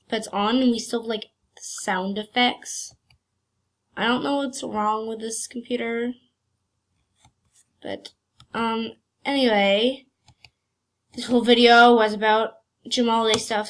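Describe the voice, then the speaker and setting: Woman with a cold, rambling gameplay commentary, slightly high voice, slow delivery, faint music bed. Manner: hesitant and rambling, many fillers. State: has a cold. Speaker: gaming channel host. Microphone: computer screen recording.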